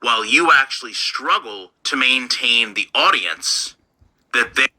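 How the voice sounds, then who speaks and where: A man's voice: medium pitch, animated, measured pace, bright, forceful tone, rough recording. A man hosting a commentary channel, lecturing bluntly in a scripted delivery.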